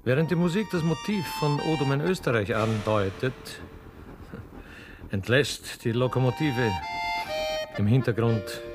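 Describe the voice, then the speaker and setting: A man's voice: mid-range voice, a little slow, pauses often. A man reading stage directions as the narrator of a radio play. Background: accordion music.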